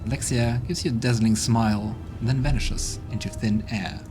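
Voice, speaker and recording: A man's voice: medium pitch, normal speed. A man hosting a let's play, reading game text aloud and dropping wry, dry comments. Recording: background game music.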